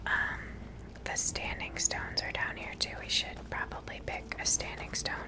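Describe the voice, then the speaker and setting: Woman, breathy unvoiced whisper, ASMR gameplay commentary, casual, thinking out loud. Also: a little slow. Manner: casual, musing aloud. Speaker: ASMR gamer.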